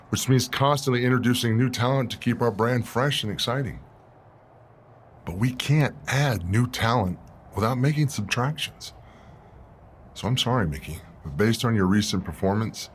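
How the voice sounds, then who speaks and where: A fairly deep man acting as a wrestling general manager in a video game. He is firm and businesslike, with an official, measured delivery over faint noise. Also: natural voice. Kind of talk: scripted game cutscene dialogue.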